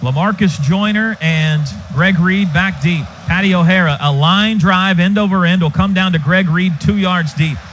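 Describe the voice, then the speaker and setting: An American man doing live football play-by-play for radio, in an excitable, clipped running call of each play. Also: sits high, normal speed, hardly any pauses. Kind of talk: live sports commentary. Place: stadium radio booth. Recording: noisy, dull stadium radio broadcast.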